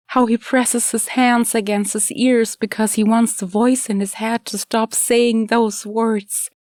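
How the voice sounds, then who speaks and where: Audiobook drama: female reader as anguished young woman, scared for a loved one, pitched high, very dry recording. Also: darkish tone, no room sound, natural voice. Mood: worried. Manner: emotional, anguished first-person telling. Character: frightened, grieving young woman watching a loved one suffer. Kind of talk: dramatic audiobook character reading.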